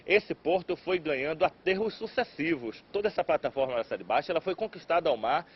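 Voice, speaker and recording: A man's voice: fairly high pitch, lively intonation, brisk, slight background noise. Man explaining history in an interview. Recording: dull lo-fi sound.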